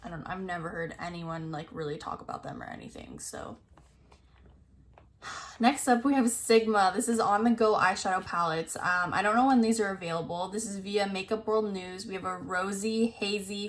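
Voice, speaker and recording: A woman's voice: medium pitch, very animated, normal speed, fairly bright tone. A young woman chatting casually as a beauty vlogger, her voice a little scratchy from talking a lot, rambling with plenty of "like" and reading product blurbs aloud. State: slightly scratchy, tired voice. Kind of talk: casual chat reviewing new makeup releases.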